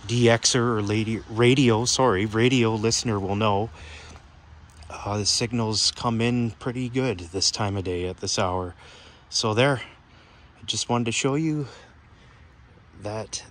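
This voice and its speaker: A man with a fairly low voice talks casually through a hands-on demonstration. He is plain-spoken and explanatory, unscripted. The phone recording is made outdoors, with some light noise behind him. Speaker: hobbyist video presenter. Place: outdoors on a backyard patio at night. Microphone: handheld phone camera.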